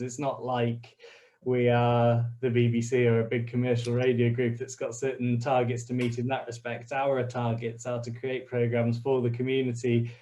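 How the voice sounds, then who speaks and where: A man speaks over a video call, his pitch staying flat and even. He is friendly and a little hesitant, restarting sentences and laughing lightly now and then. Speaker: community radio station representative. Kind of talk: online interview, casual explaining.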